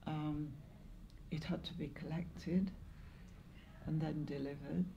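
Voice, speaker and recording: An older English woman in her seventies or beyond, reminiscing in an interview in a measured, halting way with pauses. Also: low voice, steady tone, vocal fry, warm tone, lo-fi sound, a little hiss or hum. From Lancashire, northwest England. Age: 70s or older. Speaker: interviewee, longtime community volunteer. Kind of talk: oral history interview, reminiscing.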